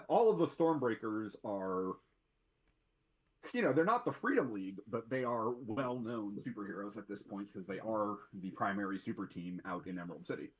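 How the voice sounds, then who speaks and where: A man's voice: lowish voice, expressive, moderate pace, poor audio. A man at a tabletop game, chatting out of character in a rambling way full of fillers. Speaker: player. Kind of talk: casual table talk.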